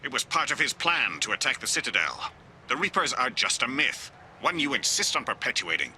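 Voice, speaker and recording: This is a scornful alien councilor in a sci-fi game. His voice is piercingly bright and forceful at a middling pitch. He talks with clipped, condescending formality. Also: sing-song, brisk, a little hiss or hum. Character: dismissive, haughty male alien councilor. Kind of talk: video game dialogue acting.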